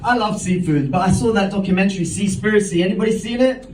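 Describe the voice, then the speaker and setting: A man works a stand-up set on a comedy club stage, his delivery punchy and self-mocking. His high voice comes from some way off the mic and echoes around the room. A steady noise and a crowd sit under it. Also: fast talker. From London. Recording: roomy, distant, crowd and hum. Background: audience laughter.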